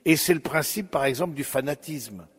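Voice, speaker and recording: A man preaching a sermon, slow and reflective, addressing his listeners directly, with pauses and fillers. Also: fairly high pitch, lively intonation, fairly bright tone, breathy edge, big-room sound.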